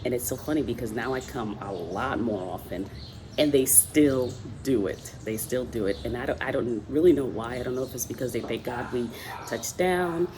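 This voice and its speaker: A woman's voice: extremely low pitch, background noise. A woman from the Dominican Republic, hosting a casual talk, speaking conversationally with frequent fillers and restarts. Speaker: host. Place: outdoors with roosters and dogs nearby.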